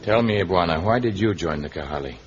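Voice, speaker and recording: A man playing a cultured jungle hero in a vintage radio adventure, with a measured, formal delivery. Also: sits low, expressive, soft background music. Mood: calm, curious. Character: calm, cultured jungle hero held prisoner. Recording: dull, noisy vintage radio recording.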